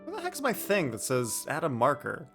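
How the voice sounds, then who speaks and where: A man's voice: on the high side, huge pitch swings, normal speed, over music. A man doing casual live commentary as he streams a video game, playful, joking and mock-argumentative.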